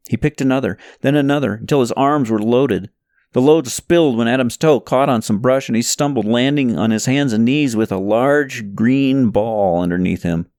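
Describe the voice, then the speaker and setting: A man's voice: mid-range voice, a little mellow, dry recording. Man narrating an audiobook in a dry-sounding space. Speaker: audiobook narrator reading own novel. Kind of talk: scripted narration of a parable novel.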